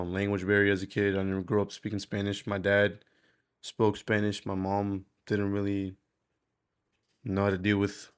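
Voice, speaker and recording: A man's voice: on the low side, a bit bright, clear, resonant tone. A man, speaking candidly in a rambling, slangy, sweary way, as in a casual confessional video diary. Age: 20s. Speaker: vlogger.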